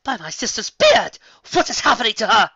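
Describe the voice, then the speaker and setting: A haughty pony princess of the night, played by a man in a read-aloud fan story. The voice is raised high and sharp, with imperious, theatrical delivery and stiff old-fashioned wording. The recording is dry and somewhat dull. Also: very animated, a little fast, vocal fry, husky, dry recording, full character voice. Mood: horrified.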